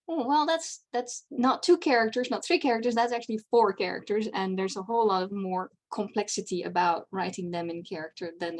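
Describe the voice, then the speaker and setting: A Dutch woman whose first language is Dutch, giving a casual, chatty convention presentation over a video call, with wry asides. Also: mid-range voice. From Netherlands.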